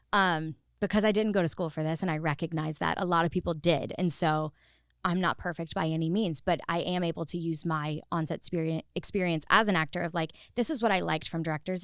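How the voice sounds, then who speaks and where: Female interview guest, slightly low voice, conversational storyteller, dry recording. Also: clear, resonant tone, dry recording. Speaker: podcast guest, actor turned director.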